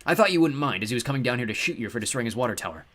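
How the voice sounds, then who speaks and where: A man's voice: mid-range voice, lively intonation, rapid-fire, fairly bright tone. A man voicing a cheerful god who talks in a light, offhand, apologetic way. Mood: breezy. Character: cheerful grinning god in a borrowed farmer's body.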